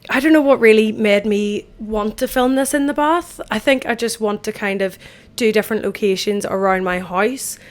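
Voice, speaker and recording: A woman in her twenties with an Irish accent, hosting a casual solo podcast from an empty bathtub at home, her voice croaky after a night out and her talk chatty, rambling and self-deprecating. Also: medium pitch, moderate pace. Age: 20s. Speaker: podcast host.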